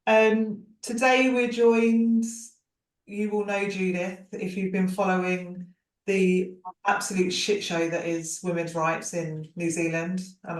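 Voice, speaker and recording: A woman hosting a podcast, chatty and blunt. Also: medium pitch. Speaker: podcast host. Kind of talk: casual podcast chat. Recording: roomy, distant mic.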